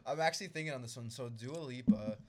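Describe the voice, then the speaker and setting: A man chatting casually in a bedroom, talking fast and laughing often, with plenty of swearing. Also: medium pitch. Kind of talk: casual chat.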